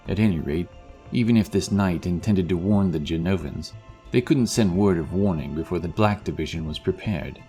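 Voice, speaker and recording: Male storyteller, deep voice, dramatic fantasy audiobook read over background score. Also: moderate pace, mellow, faint music behind. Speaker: narrator. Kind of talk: dramatic scripted fantasy narration.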